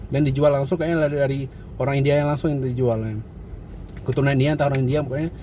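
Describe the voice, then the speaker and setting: Male food vlog host, mid pitch, chatty and full of questions; street traffic noise. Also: steady tone, fast talker, mellow. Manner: chatty, asks many questions. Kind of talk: casual food vlog chat. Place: outdoor food stall by a street.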